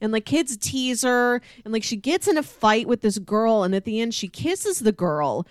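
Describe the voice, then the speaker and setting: A woman's voice: a little high, expressive, normal speed, no echo, clean studio sound. A woman in casual podcast discussion, conversational, slipping into acted-out quotes as she explains. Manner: conversational, acts out quoted lines.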